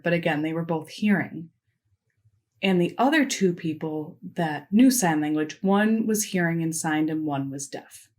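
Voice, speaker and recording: A woman voicing a signed conference keynote into spoken English, delivering it in short, measured phrases with pauses. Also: fairly low pitch, darkish tone. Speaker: sign language interpreter voicing a keynote. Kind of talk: simultaneous interpretation of a conference talk.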